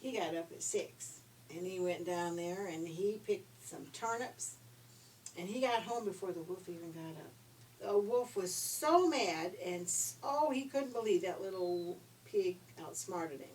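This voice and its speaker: A woman's voice: on the low side, expressive, unhurried, darkish tone. An older woman telling stories to children in an informal, folksy, conversational way. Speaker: storyteller for children. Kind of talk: informal storytelling. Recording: distant microphone, faint background noise.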